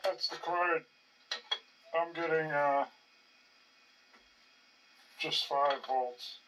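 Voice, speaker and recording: An older man giving casual, rambling commentary as he tinkers, halting and muttering to himself. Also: fairly high pitch, fairly bright tone. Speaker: hobbyist narrating his own projects. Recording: distant mic with faint background noise.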